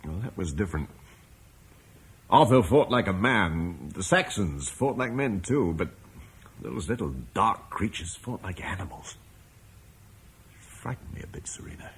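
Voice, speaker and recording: An actor playing a gentle, troubled Roman landowner and father, speaking reflectively in a vintage radio drama. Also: low-pitched, big pitch swings, measured pace, pauses often, a little hiss or hum, exaggerated voice, low-quality recording. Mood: shaken. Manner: reflective, soft-spoken, haunted.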